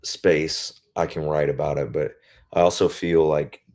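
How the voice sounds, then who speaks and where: A male singer with a naturally very deep voice, talking casually and reflectively in an interview, often pausing to find his words. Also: level tone, darkish tone. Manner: reflective, searching for words with many pauses. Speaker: band singer as guest.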